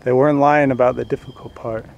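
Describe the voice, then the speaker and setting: A man's voice: medium pitch, fairly even intonation, quick pace, vocal fry, darkish tone, dull audio. A young man co-hosting a hiking vlog, chatting and joking on a steep outdoor trail. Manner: joking, upbeat. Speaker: co-host. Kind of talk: casual hiking vlog chat. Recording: noisy outdoor camera audio.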